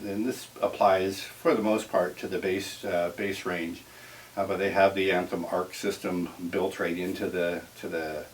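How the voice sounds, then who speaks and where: A man working as an audio dealer, giving an informal technical explanation in careful, halting phrases. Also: low-pitched. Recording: distant mic with clear hiss.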